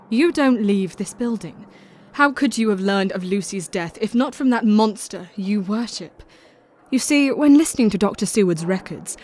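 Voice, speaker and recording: An actress playing a resolute, composed young Victorian woman with a formal, measured manner in an audio drama. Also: medium pitch, a little mellow, close to an everyday voice.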